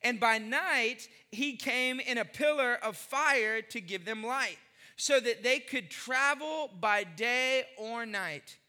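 A man's voice: very high-pitched, slow, pushed and bright, big-room sound. A man in his forties preaching a sermon, emphatic, repeating phrases and putting questions to the congregation. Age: 40s. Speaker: pastor.

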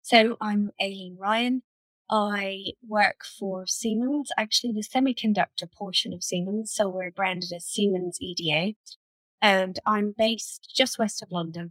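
A woman's voice: medium pitch, a bit bright, no room sound, rough recording. A woman in her fifties with an Irish accent, giving conversational answers as a panel guest on a video call. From Ireland. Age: 50s. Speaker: panel guest, engineering director.

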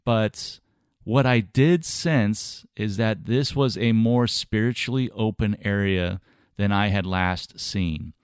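A man hosting a podcast, talking earnestly and at a measured pace with some fillers, his voice slightly scratchy from a sore throat. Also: on the low side, smooth, no echo, clean studio sound. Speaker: podcast host. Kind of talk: podcast monologue on ministry travels.

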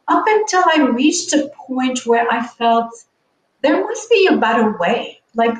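A woman from Lebanon in her thirties or forties, a business coach telling her story in an earnest, reflective way. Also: high-pitched. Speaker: guest, online business coach. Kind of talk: conversational podcast interview. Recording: echoey, distant, dull sound.

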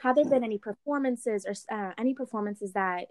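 A woman's voice: mid-range voice, a little fast, darkish tone, no room sound. A young adult woman chatting casually as an interviewer, with a friendly, attentive way of talking.

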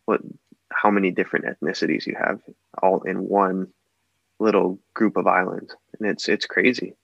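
A man in his twenties from Hawaii talks as a podcast guest, hesitant and searching, with many fillers and restarts. His voice sits very low with a gravelly fry. The sound is dry and a little dull and muffled. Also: little pitch movement, normal speed, fairly bright tone, no room sound. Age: 20s. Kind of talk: casual interview answers.

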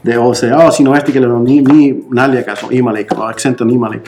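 A man's voice: mid-range voice, fairly even intonation, very fast, a little mellow, slight background noise. A man with a Costa Rican accent co-hosting a casual podcast, telling friendly stories. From Costa Rica. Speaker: podcast co-host.

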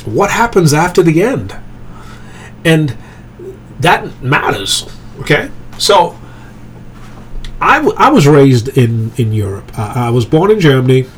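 A man's voice: a little high, big pitch swings, slow pace, long pauses, a little hiss or hum, poor audio. Man giving a casual Bible talk. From grew up in England. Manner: conversational, checks in with "okay?" and "right?". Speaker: Bible study teacher. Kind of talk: informal Bible teaching podcast.

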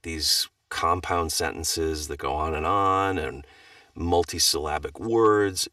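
Deep-voiced man chatting casually. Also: fairly bright tone, dry recording, clean studio sound, recorded from a distance. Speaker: audiobook narrator. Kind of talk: casual podcast chat.

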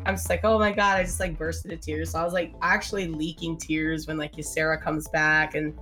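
A woman's voice: sits low, level tone, fairly quick, bright, forceful tone, soft background music. A woman with a slightly scratchy voice from a sinus infection, chatting casually as a gaming podcast co-host, full of "like" and "um". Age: adult mother. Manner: chatty, fills with "like" and "um", swears freely. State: getting over a sinus infection, slight cough.

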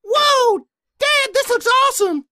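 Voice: very high voice, lively intonation, slow pace, bright, forceful tone, a little hiss or hum, exaggerated voice. An actor playing a whiny, bored young boy in an exaggerated comedy radio ad. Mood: excited. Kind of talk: exaggerated comic radio ad acting.

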